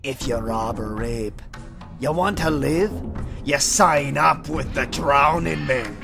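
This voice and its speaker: An actor playing a brash, menacing press-gang officer in a fantasy audio drama, taunting and blunt. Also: male voice, mid-range voice, slow pace, gravelly. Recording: loud music behind the voice.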